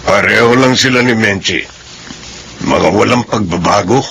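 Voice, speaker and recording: A middle-aged uncle in an old radio drama, speaking in a low, measured way as he gives counsel. A faint hiss sits under the broadcast. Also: creaky, a bit bright. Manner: measured, advising. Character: calm older uncle giving counsel. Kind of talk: classic radio drama acting.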